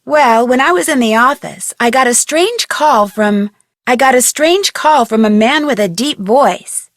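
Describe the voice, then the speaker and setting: A young woman voicing an inquisitive, polite young detective in a video game, speaking scripted lines clearly and earnestly. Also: a little high, animated, measured pace, dry recording, clean studio sound. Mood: earnest, inquiring.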